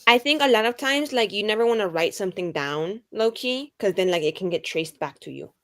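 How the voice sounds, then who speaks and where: A woman's voice: mid-range voice, animated, a little fast, close to an everyday voice. A woman playing a bubbly, vain, scatterbrained young adventurer in a tabletop roleplay, rambling breezily with lots of "like". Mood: earnest, chatty. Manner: rambling, heavy use of "like", breezy.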